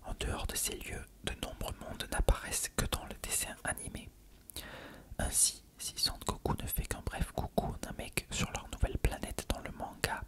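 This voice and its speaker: An ASMR narrator reading encyclopedic text in a breathy, unvoiced whisper, measured and careful.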